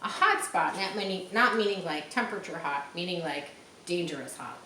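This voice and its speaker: A woman's voice: on the low side, lively intonation, sharp tone. A woman reading a story aloud to listeners, stopping to explain words and details in an explanatory way. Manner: explanatory, checking understanding, pausing to clarify words. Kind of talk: read-aloud storytelling with teaching asides. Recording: echoey room with faint background noise.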